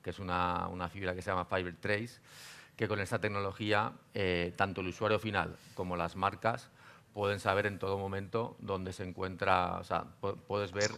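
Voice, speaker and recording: Deep, gravelly man on a panel. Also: level tone, moderate pace, fairly bright tone. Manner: earnest, with restarts. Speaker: panel guest, company representative. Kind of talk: panel talk.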